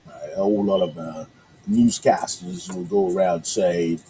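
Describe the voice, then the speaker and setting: A man's voice: low voice, fairly even intonation, normal speed, warm tone, a little hiss or hum, low-quality recording. A male radio host whose first language is Yoruba, chatting casually on a morning show and rambling with lots of fillers and restarts. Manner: rambling, many fillers and restarts, upbeat asides.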